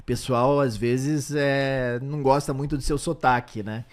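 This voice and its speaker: A man's voice: medium pitch, unhurried. A man with a slight American accent whose first language is English, a sports commentator telling stories in a casual podcast chat. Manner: animated storyteller.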